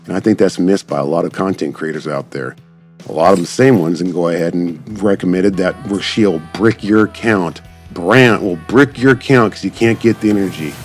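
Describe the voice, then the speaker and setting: A low-voiced man talks through his gameplay in a casual, rambling way, like a streamer addressing viewers. His speech is wordy and informal, with music playing under his voice. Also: normal speed. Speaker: gaming YouTuber. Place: voice-over on game footage.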